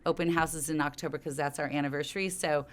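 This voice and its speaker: A woman's voice: low-pitched, fairly even intonation, fast. A middle-aged woman, a company co-founder, talking in an interview in an informal, rambling way that circles back mid-thought. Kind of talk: conversational interview storytelling.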